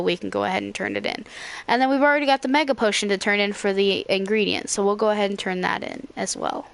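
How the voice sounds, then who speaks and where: A woman's voice: medium pitch, creaky, fairly bright tone, smooth, dry recording. A young woman hosting a let's play, talking casually over her game with plenty of fillers and restarts. Her voice is slightly scratchy from recent use. She sounds close to a home desktop or headset mic. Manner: rambling, with frequent fillers and self-corrections. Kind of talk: casual gameplay commentary.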